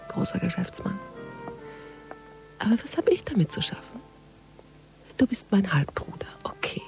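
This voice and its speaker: An actress playing a sardonic, world-weary police detective in a radio crime drama, with a dry, mocking, intimate delivery. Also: female voice, medium pitch, gravelly, a little mellow, rough recording. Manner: mocking, dry, half-muttered monologue.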